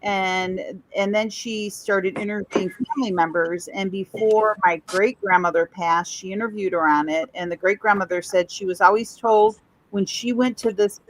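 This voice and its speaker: A woman's voice: mid-range voice, steady tone, normal speed, few pauses, no room sound, low-quality recording. Woman from Chicago with a dry, close sound. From Chicago, USA. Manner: rambling, trailing off mid-sentence and circling back. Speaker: podcast guest. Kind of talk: casual storytelling conversation.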